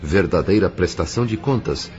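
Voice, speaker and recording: A man with a Brazilian accent giving measured, formal, solemn narration of an audio novel. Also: deep voice, expressive, darkish tone. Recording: dull lo-fi audio with music underneath.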